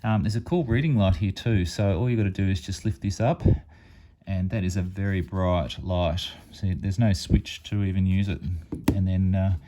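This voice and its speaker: A man's voice: low-pitched, steady tone, normal speed, dark tone, a little hiss or hum. A man giving a casual, plain-spoken hands-on product demonstration as a hobbyist reviewer. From Australia.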